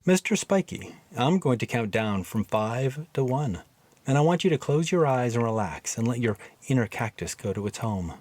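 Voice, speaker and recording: A man voicing a gentle woman hypnotist with a soft, kind voice. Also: medium pitch, sing-song, normal speed. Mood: coaxing.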